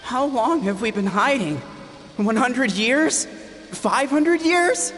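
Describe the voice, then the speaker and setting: A woman's voice: fairly high pitch, lively intonation, slow. A woman voicing a small, defiant, naive tanuki creature with stammering bluster in a video game. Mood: fearful. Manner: stammering, talks of self in third person. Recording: music behind the voice.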